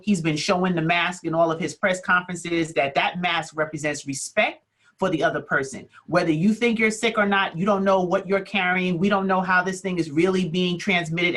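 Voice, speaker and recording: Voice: mid-range voice, a bit bright. Co-host, indignant, firm, methodical. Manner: indignant, ticks off numbered points. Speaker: talk show co-host. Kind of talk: talk show commentary.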